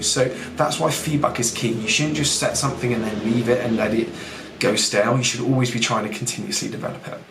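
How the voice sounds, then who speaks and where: A young man with a husky, fading voice, a fitness coach hosting a casual podcast who rambles, mocks himself and talks straight to the listener. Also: mid-range voice. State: husky, losing his voice. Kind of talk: casual podcast talk, teaching. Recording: echoey room with faint background noise.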